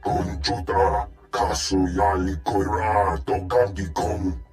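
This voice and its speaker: An actor voicing a menacing alien in a video game, talking in deliberate, drawn-out phrases. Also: male voice, sits low, flat delivery, darkish tone, faint music behind. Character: slow, menacing alien speaking an invented tongue. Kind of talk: video game cutscene acting.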